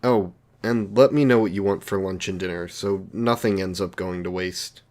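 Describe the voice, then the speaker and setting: Lonely villain , male actor, somewhat deep voice. Considerate and socially awkward, apologetic delivery for close, intimate roleplay audio. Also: normal speed, a little mellow, natural voice. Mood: eager, awkward. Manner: hesitant, apologetic, polite.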